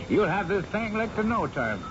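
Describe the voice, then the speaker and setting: On a dull old radio recording, a folksy, self-important helicopter pilot. He is informal, eager and talkative, with a lively, swinging pitch. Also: unhurried, very noisy. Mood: breezy, reassuring. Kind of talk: old-time radio drama acting.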